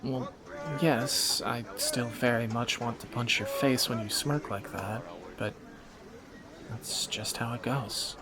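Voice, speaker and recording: A man's voice: medium pitch, steady tone, takes it slowly, noisy background, close to an everyday voice. A man playing a wry, flirtatious thief on the run in a romantic audio drama, speaking in teasing, sardonic banter to a companion. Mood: fond, playful.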